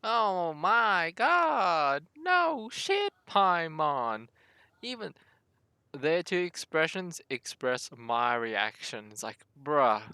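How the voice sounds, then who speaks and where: A woman's voice: low-pitched, very animated, drawn-out words, bright, forceful tone, no echo. A woman streaming a game, giving casual, slangy reaction commentary. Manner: informal, slangy, mutters and reads game text aloud.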